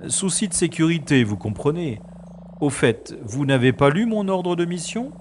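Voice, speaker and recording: A man's voice: a little high, animated, normal speed, darkish tone, slight background noise, close to an everyday voice. A man playing a suave, self-important special agent, wry and drily polite, in a science-fiction audio drama. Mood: suave bluffing.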